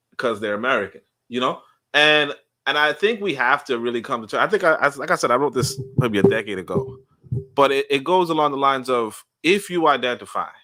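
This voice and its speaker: A man on a podcast panel who argues his point with lots of repeats and restarts. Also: medium pitch. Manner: animated, repetitive, laughing, arguing a point. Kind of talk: livestream panel discussion.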